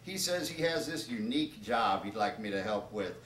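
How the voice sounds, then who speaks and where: Male author, mid-pitched, comedic live reading with informal asides; echoey room recording with clear background noise. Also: expressive, moderate pace, fairly bright tone. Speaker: author reading from his own book. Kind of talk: comedic storytelling, reading aloud to an audience. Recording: roomy, distant, noisy live event recording.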